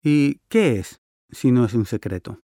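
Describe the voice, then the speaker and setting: A man's voice: mid-range voice, lively intonation, unhurried, warm tone, no echo, clean studio sound. A man playing a friendly interviewer in a scripted listening-test dialogue, asking polite, curious questions. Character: friendly adult male interviewer.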